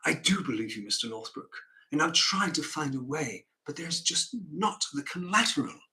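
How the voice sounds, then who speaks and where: A man's voice: on the high side, lively intonation, moderate pace, sharp tone, pitched above the performer's own voice. A man playing a reserved, conflicted banker in a stage musical, formal and hesitant. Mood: regretful. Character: reserved, conflicted English banker and busy father.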